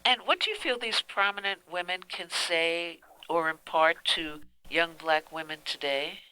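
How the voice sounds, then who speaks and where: A woman's voice: fairly low pitch, measured pace, pushed and bright, smooth, rough recording. A woman radio interviewer putting prepared questions to a guest.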